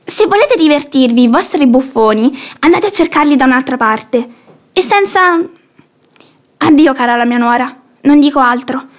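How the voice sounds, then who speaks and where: A woman's voice: high voice, animated, normal speed. An actress playing an imperious, sanctimonious old mother-in-law in a theatrical radio play, haughty and preachy. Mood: scolding, outraged.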